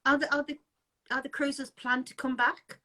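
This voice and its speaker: A woman's voice: sits high, little pitch movement, normal speed, sharp tone. A woman from England hosting a casual live-chat interview over a video call, chatty, laughing often and restarting her sentences. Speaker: interviewer, anti-scam group host.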